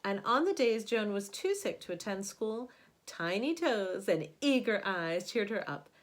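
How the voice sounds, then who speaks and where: A woman with a mid-range voice reads a children's bedtime story aloud. She sounds like a teacher sharing a picture book, giving key words expressive, dramatic emphasis. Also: medium pitch, unhurried.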